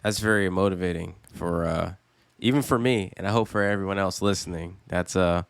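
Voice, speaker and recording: Male podcast host, deep voice, hesitant and encouraging. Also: a little fast, creaky, smooth, no room sound. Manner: hesitant, restarts a lot, encouraging. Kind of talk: casual interview.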